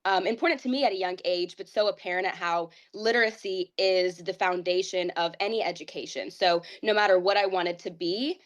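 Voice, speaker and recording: A woman's voice: lowish voice, a little fast, hardly any pauses. A young woman in her twenties from Ohio, a pageant titleholder telling her story in a casual interview, earnest and upbeat with a rambling flow. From Ohio, United States.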